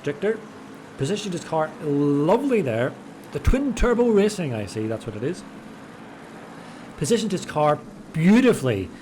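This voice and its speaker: Chatty excitable man commentating a race. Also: a little high, normal speed, pauses often, a little hiss or hum. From Ireland. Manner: chatty, excitable, fumbles names. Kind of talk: live sim racing commentary.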